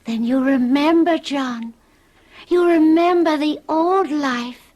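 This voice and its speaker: An actress playing a seductive, mysterious woman in a radio drama, speaking slowly in a breathy, hushed, entreating way. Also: high-pitched. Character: seductive mysterious woman calling a lover back to a past life. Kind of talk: 1970s radio drama acting.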